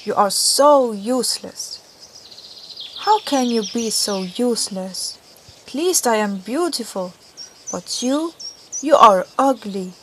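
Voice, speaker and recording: A woman's voice: on the high side, animated, takes it slowly, noisy background. A woman playing a proud, vain red rose in a children's audio story, with a haughty, dismissive manner. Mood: scornful.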